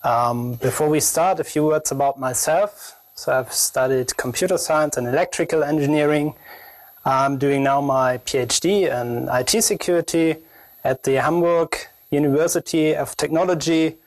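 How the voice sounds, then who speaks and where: A young man with a German accent giving a technical conference presentation as a researcher, speaking in halting, non-native English phrasing. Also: on the high side, no room sound.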